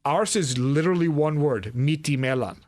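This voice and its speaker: A man's voice: fairly high pitch, normal speed, a little mellow. A young man from Sweden, a podcast guest, talking casually with many restarts and fillers. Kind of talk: casual interview chat.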